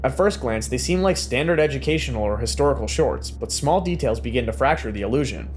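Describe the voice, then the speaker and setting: A man reading scripted explainer narration in a measured, even documentary manner. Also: mid-range voice, faint music behind.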